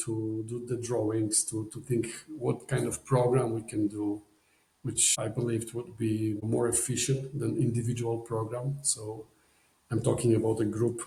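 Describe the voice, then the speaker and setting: Man, Polish accent, gravelly mellow voice, rambling fillers, echoey room. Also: mid-range voice. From Poland. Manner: rambling, many "you know" fillers, non-native phrasing. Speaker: podcast guest. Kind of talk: casual storytelling.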